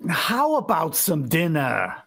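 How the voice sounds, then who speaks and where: A man's voice: fairly high pitch, wildly swooping pitch, slow pace, creaky, heavy background noise, full character voice. A man playing a tired, home-loving working husband in a vintage-style radio drama, complaining in plain colloquial speech. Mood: weary, grumbling.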